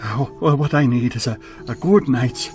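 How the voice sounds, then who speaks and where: An actor in a radio play playing a grumpy, frightened old miser who stammers and blusters haltingly. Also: male voice, medium pitch, darkish tone, soft background music, natural voice. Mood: grumbling disbelief.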